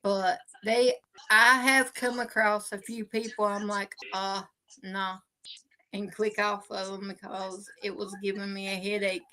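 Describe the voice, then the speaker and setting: A woman co-hosting a casual talk show, self-deprecating and talking in quick bursts. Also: medium pitch, little pitch movement, sharp tone, slight background noise, rough recording. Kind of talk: casual chat.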